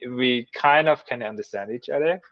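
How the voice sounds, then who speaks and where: A man in his thirties from Switzerland with a German accent, a guest chatting casually in an interview, thoughtful and halting with frequent restarts. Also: medium pitch, no echo, lo-fi sound. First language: Swiss German. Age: 30s. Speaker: guest mathematician.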